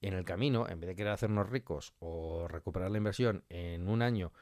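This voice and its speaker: A man's voice: low voice, a little fast, a little mellow, no room sound, clean studio sound. A man with an accent from Spain talking as an interview guest, giving opinions with rhetorical questions and imagined quotes. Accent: Spanish (Spain). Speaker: interview guest, audio fiction writer.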